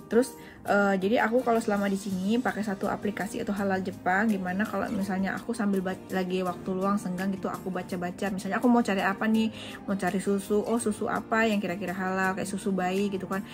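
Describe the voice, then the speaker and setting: A woman's voice: mid-range voice, little pitch movement, fast, over music. A young woman from Indonesia, a vlogger chatting casually at home as she answers viewer questions, with frequent fillers. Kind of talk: casual chat. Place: home in Japan.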